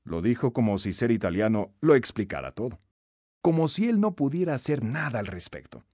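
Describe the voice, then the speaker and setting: A man narrating a scripted self-help audiobook in an emphatic, instructive, expressive way. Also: medium pitch, fairly quick, no room sound, studio quality.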